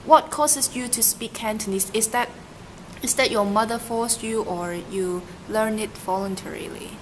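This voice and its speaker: A woman's voice: on the high side, a little slow, slight background noise. A young woman interviewing someone, asking questions hesitantly with fillers and restarts. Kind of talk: casual student interview.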